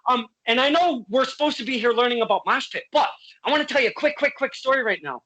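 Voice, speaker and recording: A man's voice: very high voice, animated, fast talker, pushed and bright, rough recording. A man from Connecticut hosting a casual interview podcast, boisterous and playful, swearing freely. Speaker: podcast host.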